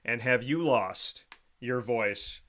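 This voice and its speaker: A man's voice: medium pitch, takes it slowly, fairly bright tone. A man hosting an interview, asking questions in a friendly, conversational way. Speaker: host.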